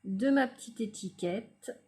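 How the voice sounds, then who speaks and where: A woman's voice: medium pitch, unhurried. A woman talking casually through a card-making tutorial, chatty and hesitant, often trailing off with fillers as she works. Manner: quiet, murmured while working on the craft. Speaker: crafting YouTuber.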